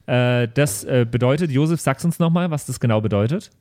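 A man co-hosting a role-play podcast, chatting casually and often pausing to search for words. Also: mid-range voice, dark tone, dry recording. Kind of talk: casual chat.

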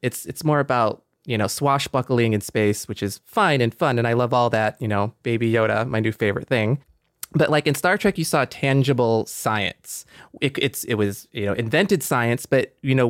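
A man's voice: mid-range voice, dry recording. Earnest man, dry close sound. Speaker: podcast panelist. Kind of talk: casual panel discussion.

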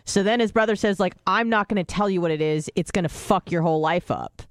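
A man co-hosting a radio show, chatty and quick to laugh, explaining and recommending things to the host. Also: high-pitched, clear, resonant tone, dry recording, studio quality. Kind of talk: casual radio banter.

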